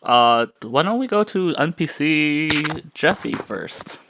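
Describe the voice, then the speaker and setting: A man's voice: on the high side, very animated, takes it slowly, vocal fry, noisy background. A man hosting a casual club discussion and reading out comments in a jokey, sweary way. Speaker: host leading an anime club discussion.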